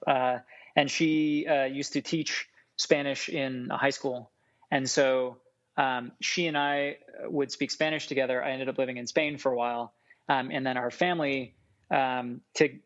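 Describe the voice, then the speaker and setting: A man who grew up speaking Farsi, a food scientist and chef, talking casually as a podcast guest in a rambling, animated way with lots of fillers. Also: medium pitch. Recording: dull lo-fi sound.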